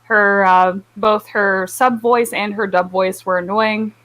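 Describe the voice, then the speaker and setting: Wry woman chatting casually, middling pitch. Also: steady tone, takes it slowly, fairly bright tone, low-quality recording. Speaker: anime club podcast panelist. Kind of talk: casual chat, giving opinions.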